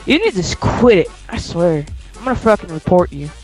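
Angry, swearing young gamer. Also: male voice, sits high, sing-song, brisk, faint music behind. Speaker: gamer opponent. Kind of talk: heated party chat.

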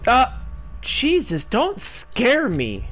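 Casting: slow, rambling man narrating gameplay, lively pitch. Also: faint music behind. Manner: rambling, talks to himself. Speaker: gameplay streamer. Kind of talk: casual gameplay commentary.

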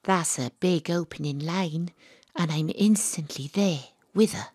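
A woman's voice: fairly low pitch, a little slow, a little mellow, slightly rough, no room sound, clean studio sound. A woman playing a wry, world-weary private detective who narrates her own story in a confiding way in an audio drama. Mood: gentle. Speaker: narrator and lead character.

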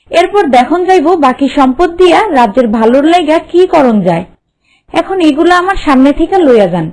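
A woman's voice: sits high, darkish tone, rough recording. A woman voicing a kind, humble housemaid made queen in a cartoon, plain-spoken with a rural Bangladeshi accent. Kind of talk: cartoon voice acting.

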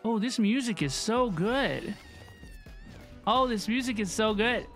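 A woman giving casual, excited reaction commentary as a video host, exclamatory and slangy, often repeating herself. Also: fairly high pitch, measured pace, faint music behind.

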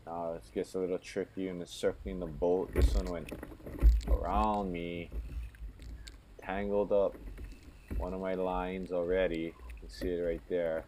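Deep-voiced calm fisherman narrating. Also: measured pace, slight background noise. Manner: calm, matter-of-fact, explains each step. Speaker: kayak fisherman. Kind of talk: voice-over commentary on his own fishing footage.

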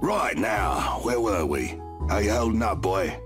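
A man's voice: fairly low pitch, lively intonation, unhurried, soft background music. A man voicing an easygoing, kindly but firm bartender with a casual, patient manner.

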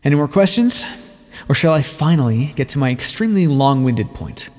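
The undead scientist in an audio drama who tells his dark history from deep inside a cavernous hall, wry and theatrical, his voice swooping as a man acts him out. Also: big pitch swings, brisk, faint music behind. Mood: teasing, playful. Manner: wry, wordy, self-mocking, theatrical. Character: sardonic, bitter male vampire scientist, theatrical and teasing. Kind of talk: ASMR roleplay monologue, dramatic storytelling. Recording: long reverberant echo on the voice.

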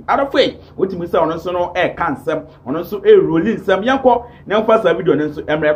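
A man's voice: on the high side, lo-fi sound. A man in his thirties with a Ghanaian accent, hosting gossip commentary to camera in an emphatic, repetitive way. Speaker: online show host. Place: small indoor home studio.

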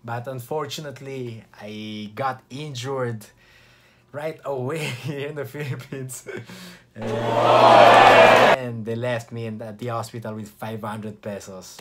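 A young man with an Italian accent, from Italy, chatting to camera in a casual vlog with relaxed restarts and short pauses. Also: medium pitch, heavy background noise. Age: young adult. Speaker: vlogger.

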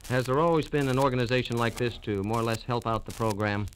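Quick talking radio interviewer guy, formal and scripted, noisy 1940s record. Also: mid-range voice. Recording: noisy, clicking 1940s radio transcription disc.

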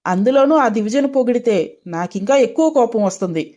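A woman's voice: fairly high pitch, lively intonation, fairly quick, warm tone, natural voice. A woman playing a spoiled, jealous and hot-tempered young fiancée in a dramatised story, petulant and indignant. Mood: petulant, angry.

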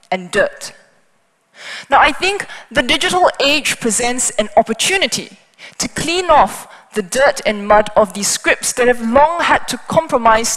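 Woman with a higher voice giving a talk in a hall. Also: a bit bright. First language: Chinese. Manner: explanatory, brisk, with small asides. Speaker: conference speaker. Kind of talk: technical conference talk. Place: large conference hall on stage. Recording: hall reverb.

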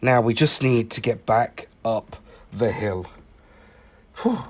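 A man reading a picture book aloud in an expressive, animated manner, acting out the exclamations. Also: medium pitch, slow pace, dull audio. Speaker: library staff story reader.

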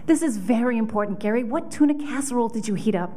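Here is a woman acting as a harried working mom who is a casually sinister deputy mayor. Her voice sits a little high with a mellow tone. She delivers the comic audition monologue briskly and deadpan, as matter-of-fact about crime as about dinner. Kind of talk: audition comedy monologue read. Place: hotel conference room, at a standing mic.

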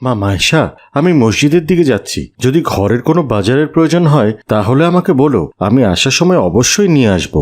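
Animated, humble pious father. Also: medium pitch, normal speed. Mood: kindly. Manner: expressive, earnest. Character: humble, pious, poor father who leads prayers. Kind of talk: cartoon voice acting.

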